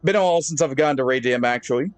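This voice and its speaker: A man streaming a game live, thinking aloud with pauses and fillers and chatting to his viewers. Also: medium pitch, faint music behind. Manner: friendly sign-off to viewers. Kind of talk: live gameplay commentary.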